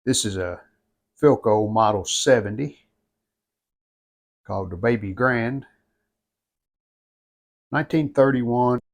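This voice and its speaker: A man giving an unscripted hands-on restoration walkthrough in plainspoken, halting short phrases. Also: medium pitch. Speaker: radio restorer presenting own channel.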